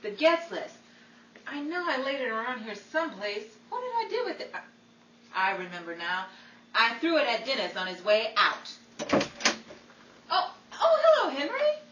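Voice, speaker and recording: A woman playing Betty, a flustered, scatterbrained yet quick-witted woman, with theatrical exclamations in 1940s radio comedy style. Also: on the high side, wildly swooping pitch, highs cut off. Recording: echoey room, distant mic, faint noise.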